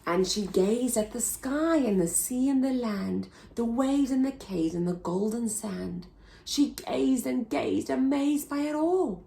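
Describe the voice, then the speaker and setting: A woman reading a rhyming picture book aloud to children in a slow, sing-song way. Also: on the high side, expressive, gravelly, mellow. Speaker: children's story reader.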